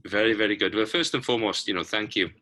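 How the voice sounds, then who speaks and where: A man's voice: on the low side, fast, bright, forceful tone. A man hosting a casual interview, encouraging and wordy, often repeating his phrases.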